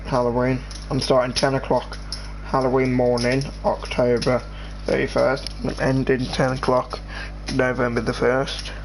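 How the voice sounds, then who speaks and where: Brief: English teen lad, mid-pitched, rambly gaming host chat over background noise. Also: male voice, monotone, vocal fry. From England. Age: teenager. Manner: rambling, halting, restarts sentences. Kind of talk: casual chat over gameplay.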